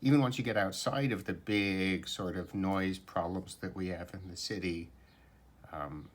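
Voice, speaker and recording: A man telling a story casually to camera as a hobbyist vlogger, in a conversational, unhurried, mildly rueful way. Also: low voice. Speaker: shortwave radio hobbyist vlogger.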